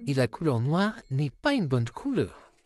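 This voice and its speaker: A woman voicing a gentle, anxious boy puppet in a comic dub, expressive and sincere. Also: low voice, measured pace, faint music behind. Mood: anxious, lonely.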